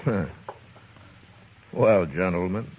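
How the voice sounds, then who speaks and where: A gruff American lawman speaks in a low, husky voice with terse authority. He is tough and plainspoken, in the manner of 1950s Western radio drama. The old recording is dull and muffled. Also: slow. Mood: resigned, dry. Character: tough, dry, plainspoken frontier marshal, adult man.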